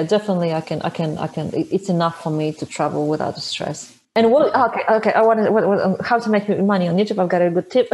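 A woman's voice: mid-range voice, darkish tone, rough recording. A woman in her thirties, a native Polish speaker with a Polish accent, chatting casually as an interview guest, laughing easily and restarting her sentences. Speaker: interview guest, motorcycle traveler.

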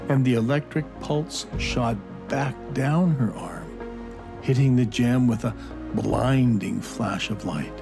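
Slow, dramatic male storyteller over music. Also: mid-range voice. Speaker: narrator. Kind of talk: scripted children's story narration. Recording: narration over clear background music.

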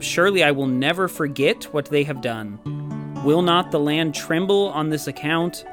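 Man reading scripture over prominent guitar music. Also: medium pitch. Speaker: scripture reader. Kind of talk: solemn scripture reading aloud. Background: soft acoustic guitar music.

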